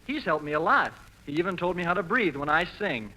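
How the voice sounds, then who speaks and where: A man's voice: fairly high pitch, very animated, brisk, sharp tone. A polite, naive young American man acting earnestly in a 1940s radio comedy. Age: young adult. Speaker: show singer and comic foil. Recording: noisy 1940s live radio recording.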